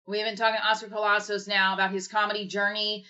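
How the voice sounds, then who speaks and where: A woman's voice: mid-range voice, little pitch movement, fast, pushed and bright. A woman hosting a casual livestream chat, asking loose, rambling questions with a self-deprecating streak. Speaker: livestream host. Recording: dull lo-fi stream audio.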